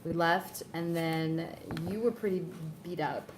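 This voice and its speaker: A woman's voice: fairly low pitch, measured pace. A woman player recapping a tabletop game in casual chat, hesitant with many fillers and giggles. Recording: echoey room, faint noise.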